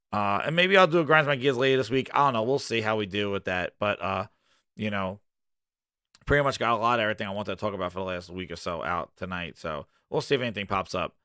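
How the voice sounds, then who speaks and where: A man hosting a casual gaming livestream, hoarse and rambling, launching into animated rants. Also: fairly low pitch, no room sound, studio quality. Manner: animated ranting, loose and rambling. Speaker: gaming podcast host.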